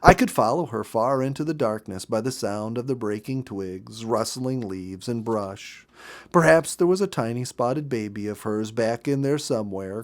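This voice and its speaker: Man narrating a storybook expressively. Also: medium pitch. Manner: measured, dramatic storytelling. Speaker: audiobook narrator.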